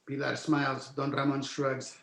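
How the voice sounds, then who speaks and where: A man's voice: medium pitch, completely flat delivery, moderate pace, gravelly, a bit bright, roomy sound. A man narrating a screenplay's stage directions at a table read, in present tense.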